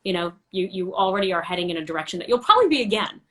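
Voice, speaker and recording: A woman's voice: fairly low pitch, expressive, very fast, bright, forceful tone. A woman hosting a casual, friendly interview, chatty and personable with a teasing streak. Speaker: interview host.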